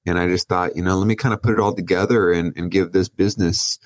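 A man from Southern California, a guest on a podcast, telling his story in a relaxed way with small restarts. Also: low voice, fast, dry recording, clean studio sound. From Oceanside, California, USA. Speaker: guest, business owner. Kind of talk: casual interview.

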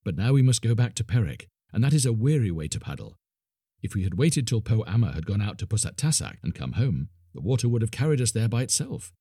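A man's voice: fairly low pitch, big pitch swings, quick pace, warm tone, slightly rough, no room sound, studio quality, exaggerated voice. A man voicing a practical, lazy grown man in a storybook reading, speaking matter-of-factly. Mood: plain.

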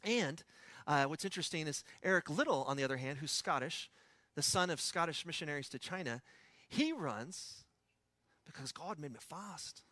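A pastor with a West Texas accent preaching a sermon in a conversational storytelling way, with personal asides. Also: male voice, on the high side, expressive, moderate pace, pushed and bright, dry recording.

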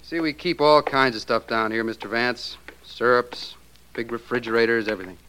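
A man's voice: mid-range voice, moderate pace, pushed and bright, clear, resonant tone, close to an everyday voice. An actor playing a helpful young male store clerk in a 1940s radio drama, informal and chatty. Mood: eager, helpful. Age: young adult. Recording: noisy old radio recording.

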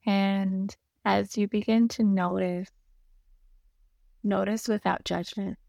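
A woman guiding a meditation in a soothing, gentle manner, giving unhurried instructions with long pauses between short phrases. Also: medium pitch, steady tone, vocal fry, no echo, studio quality. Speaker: meditation guide. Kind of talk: guided meditation narration.

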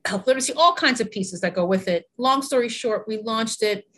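A woman's voice: a little high, a little fast. A woman in her forties from New York, a health education consultant talking earnestly as an interview guest, with frequent fillers and easy laughter. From New York, USA. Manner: warm, earnest, laughs often, many fillers. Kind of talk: casual interview conversation.

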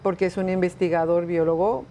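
Low-voiced Mexican woman thinking aloud. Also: little pitch movement, dark tone, slight background noise. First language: Spanish. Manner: thinks aloud with pauses and fillers. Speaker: guest, tour company owner. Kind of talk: casual interview answers.

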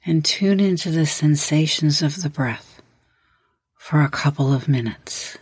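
A woman leading a guided meditation as host, giving measured, instructional prompts with long pauses. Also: deep voice, husky, no room sound.

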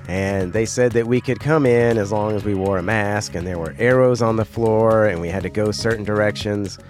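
A middle-aged man hosting a solo podcast, sounding hoarse and congested as he talks in a rambling, casual way. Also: on the low side, a little mellow. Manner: rambling, conversational, self-correcting. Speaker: podcast host.

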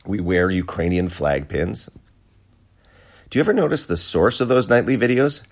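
A sarcastic American man rants through a podcast monologue, swearing freely. His voice sits fairly low but swings widely up and down as he mocks and jabs. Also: animated, normal speed. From United States. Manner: sarcastic, profane ranting. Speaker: podcast host. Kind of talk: opinion monologue.

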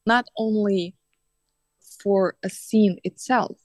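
A woman's voice: medium pitch, fairly even intonation, slow pace, darkish tone, no room sound. Woman, dry sound. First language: Russian. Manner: earnest, slightly non-native phrasing with fillers. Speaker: guest, coach and teacher. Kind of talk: casual podcast interview.

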